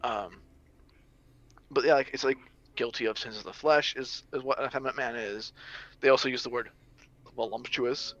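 A man's voice: medium pitch, steady tone, normal speed, long pauses, a bit bright, dull audio. A young adult man in casual conversation, rambling through ideas with many restarts and fillers. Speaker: social media creator on biblical masculinity.

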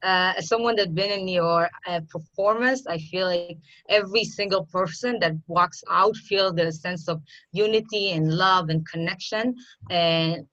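A woman's voice: lowish voice, a little slow, fairly bright tone. Enthusiastic woman interviewer, muffled sound. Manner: enthusiastic, searching for words. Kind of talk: interview questions.

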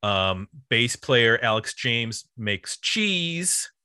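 A man's voice: mid-range voice, big pitch swings, very slow, sharp tone, clean tone, no room sound, clean studio sound. A man hosting a music podcast, casually explaining the history of an album in an informal, loosely structured way.

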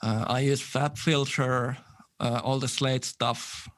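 A man's voice: medium pitch, slow pace. Man talking easily, laughing often. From Finland. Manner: relaxed, laughs easily, detailed gear talk. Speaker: guest musician. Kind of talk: casual interview talk.

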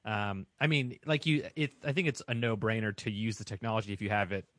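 A man talking in a casual conversation, with a lowish voice. He speaks loosely, saying "like" a lot and swearing casually. The recording is dry and close. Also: steady tone, a little fast, a bit bright, no echo. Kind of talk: casual chat.

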